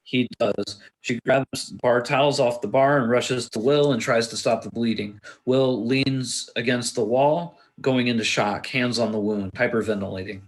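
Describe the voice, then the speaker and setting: Casting: male stage-directions reader, mid-pitched voice, narrating action for a film script read-through. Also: fairly even intonation, moderate pace, few pauses, low-quality recording.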